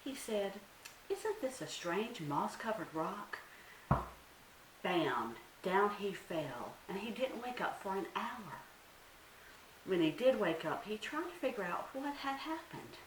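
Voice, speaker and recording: Animated woman storyteller in a roomy space. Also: medium pitch, unhurried. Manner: dramatic, asks listeners questions. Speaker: children's librarian storyteller. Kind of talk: expressive storytime narration for young children. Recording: roomy, distant mic, faint hiss.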